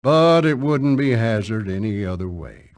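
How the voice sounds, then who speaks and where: Man as a folksy Southern narrator, lively intonation. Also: lowish voice, normal speed, natural voice. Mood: amused, folksy. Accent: American South. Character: folksy, wry country narrator. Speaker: storytelling narrator.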